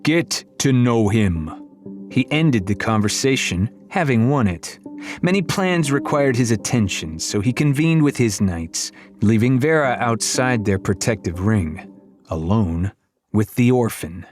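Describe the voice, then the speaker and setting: A man's voice: mid-range voice, lively intonation, a little slow. Theatrical male audiobook narrator over music. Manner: theatrical, wry storytelling. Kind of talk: dramatic scripted narration of a middle-grade fantasy. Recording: music behind the voice.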